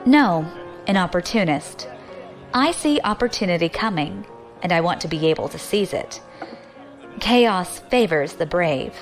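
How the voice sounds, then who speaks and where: A woman's voice: fairly low pitch, animated, measured pace, soft background music. A woman playing a bold, ambitious, defiant young opportunist in an audio drama, assertive and challenging. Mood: cool, determined.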